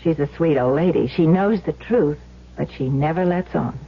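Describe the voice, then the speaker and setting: A woman's voice: sits low, normal speed, slightly rough. An actress playing a wry, bitter wife of a crook, probing as she talks, in a 1970s radio drama. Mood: wry, hospitable. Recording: dull lo-fi old radio recording.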